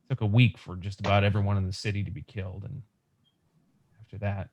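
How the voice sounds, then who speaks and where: Man playing a quick, practical young survivor, low-pitched. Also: flat delivery, darkish tone. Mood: grave, sympathetic. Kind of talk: comic book table read performance. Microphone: video call. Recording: online video call.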